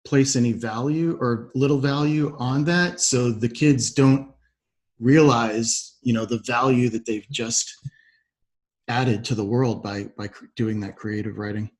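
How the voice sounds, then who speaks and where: A man's voice: mid-range voice, steady tone, a little slow. A man with a mid-range voice hosts a podcast interview. He speaks conversationally and responds to his guest in an appreciative, affirming manner. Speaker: podcast host.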